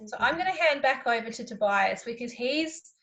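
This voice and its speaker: Cheerful, reassuring woman with a higher voice. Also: expressive, normal speed, a bit bright. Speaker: guest presenter. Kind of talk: talking to children.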